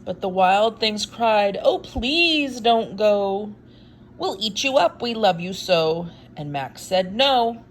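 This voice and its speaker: A woman reading a picture book aloud in an expressive, unhurried way, with wry, casual asides. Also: medium pitch, a little hiss or hum.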